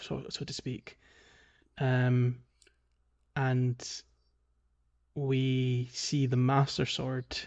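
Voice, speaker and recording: A man's voice: medium pitch, dull audio. Casual man co-hosting a gaming podcast. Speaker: podcast co-host. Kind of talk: casual video game recap discussion.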